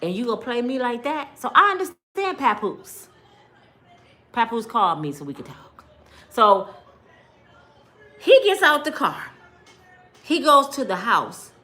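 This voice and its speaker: Animated American woman with a bright voice. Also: fairly high pitch. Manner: blunt, expressive, acts out dialogue. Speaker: storytime vlogger. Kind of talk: animated personal storytelling to viewers.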